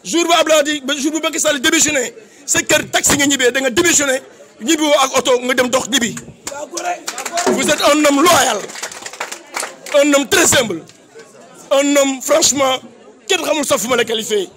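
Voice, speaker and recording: A man's voice: very high-pitched, moderate pace, pauses often, crowd noise, background noise. A man with a Senegalese accent giving an impassioned public praise speech, declamatory and emphatic, with repeated phrases. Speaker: political activist giving a tribute.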